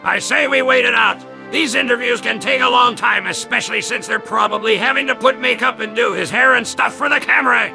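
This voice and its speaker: A man's voice: very high-pitched, fairly quick, gravelly, hardly any pauses, pushed and bright, soft background music, full character voice. A synthetic man's voice playing a bossy, brash mercenary in a cartoon.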